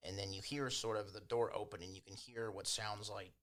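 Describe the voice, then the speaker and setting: Low-voiced man narrating a tabletop game. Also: steady tone, brisk, fairly bright tone. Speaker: game master.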